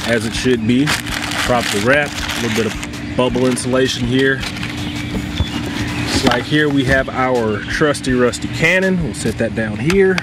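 A man's voice: medium pitch, measured pace, heavy background noise. A man hosting his own channel as a pitmaster, narrating an unboxing and review outdoors in a casual, upbeat way. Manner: upbeat and conversational, narrating each step. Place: outdoors in a yard or driveway.

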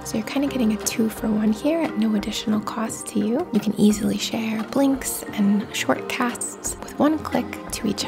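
Female ASMR host, soft unhurried whisper, mid-pitched with gravelly creak, reading promo over music. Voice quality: breathy whisper. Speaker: video host reading a sponsor message. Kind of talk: whispered ASMR sponsor read.

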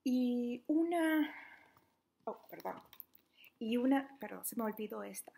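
A young adult woman reading a children's book aloud interactively, in an animated, playful, questioning manner. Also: on the high side, slow pace, gravelly, a little hiss or hum. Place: small living room at home, indoors.

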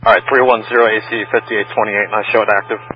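A man's voice: lowish voice, flat delivery, quick pace, music in the background. A man speaking as an airline pilot, giving clipped readbacks over aircraft radio. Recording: muffled air traffic radio.

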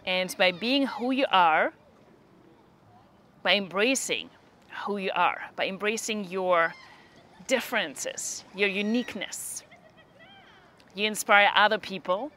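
A woman leading a guided meditation, giving unhurried instructions in short phrases with long pauses between them. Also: mid-range voice, sharp tone.

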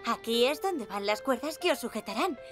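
An actress playing an upbeat, encouraging young climbing instructor in a cartoon, with a Castilian Spanish accent and a cheerful, reassuring way with small children. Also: female voice, fairly high pitch, lively intonation, normal speed, lightly acted. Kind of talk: animated dub dialogue. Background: music.

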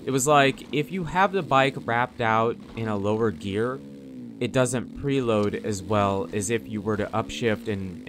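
A man giving casual, rambling off-the-cuff commentary as host of a gaming video, in a conversational way. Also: medium pitch. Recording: game engine noise behind the voice. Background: motocross bike engine sounds from game.

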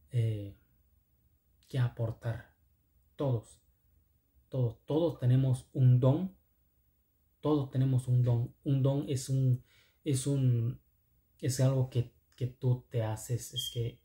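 A man from Oaxaca whose first language is Zapotec speaks with a Mexican second-language accent. His voice is very soft-edged and dark, at a middling pitch. He talks casually and earnestly as a motivational vlogger, halting and repeating himself, in an echoey space. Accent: Mexican, second-language Spanish with Zapotec influence. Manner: halting, repetitive, earnest phrasing. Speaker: video channel host. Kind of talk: casual motivational vlog talk.

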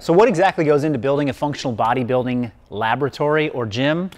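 A man's voice: mid-range voice, fast, soft background music. Male fitness coach, 30s, eager tour-guide delivery, lapel mic in a big gym. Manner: enthusiastic, explanatory. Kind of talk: upbeat presenter walkthrough. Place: large indoor gym.

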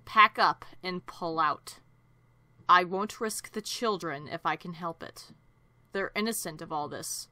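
Woman voicing a low, stern echidna commander. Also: unhurried, pushed and bright, dry recording. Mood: stern, grave. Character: stern vengeful adult female echidna commander. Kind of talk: cartoon character voice demo.

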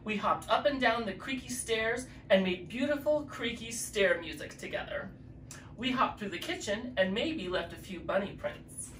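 Drag queen reading a picture book aloud, expressive, mid-pitched, recorded from a distance in a roomy space. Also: normal speed. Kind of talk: children's story reading. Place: living room with fireplace, indoors. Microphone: distant camera mic. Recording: echoey room, distant mic, faint noise.

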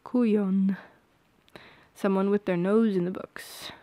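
Soft, slow-talking young woman, ASMR roleplay. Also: medium pitch, steady tone, no room sound. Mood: tender, shy. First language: Polish. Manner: soft-spoken, hesitant, often dropping into whispers. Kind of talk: intimate ASMR roleplay acting. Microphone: close microphone.

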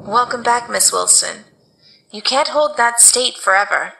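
An actress playing a loyal, practical assistant who speaks earnestly and asks questions. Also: female voice, on the high side, measured pace, vocal fry, background noise. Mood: urging. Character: loyal young woman assistant, practical and caring. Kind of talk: radio drama acting.

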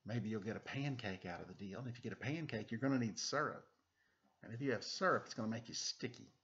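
A middle-aged man with a playful, animated, self-deprecating manner, doing a children's read-aloud with casual, joking asides into a webcam at home. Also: on the low side, quick pace. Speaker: storytime reader. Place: indoors, room at home. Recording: dull webcam audio, noisy background, clicks.

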